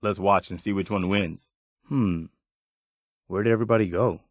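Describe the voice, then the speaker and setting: An actor playing an earnest, weary, principled militia soldier as a video game companion, speaking in a sincere, plain-spoken, conversational way. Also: male voice, low-pitched, normal speed, no room sound, clean studio sound. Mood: watchful, matter-of-fact.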